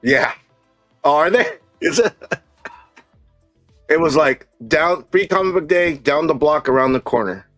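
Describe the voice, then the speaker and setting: A man's voice: a little high, lively intonation, measured pace, long pauses, a bit bright, no room sound, soft background music. A man hosting a live stream, chatting in a loose, friendly way with plenty of fillers, interviewing a guest and reading comments. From San Diego area.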